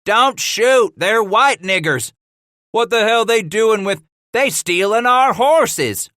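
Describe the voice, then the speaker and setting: Guy putting on a shrill horse thief, panicky, drawling, yelling way up high. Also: animated, slow pace, no echo, clean studio sound, exaggerated voice. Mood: panicked. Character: frightened frontier horse thief, man, shrieking.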